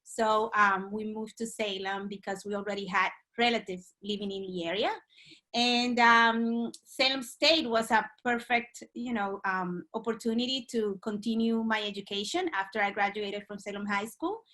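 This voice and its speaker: Dominican-born woman in her 40s, bright tone, lots of ums. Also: mid-range voice, normal speed. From Dominican Republic. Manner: hesitant, many ums and uhs. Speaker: guest. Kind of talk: remote podcast interview.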